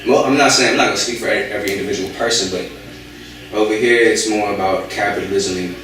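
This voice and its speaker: A young man with a fairly low voice talks haltingly, restarting often and leaning on fillers. He sits well back from the mic. The room gives his voice a strong, hollow echo. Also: steady tone, fairly bright tone. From United States. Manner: hesitant, many fillers and restarts. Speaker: interviewee. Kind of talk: casual interview conversation. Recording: echoey room, voice from far off.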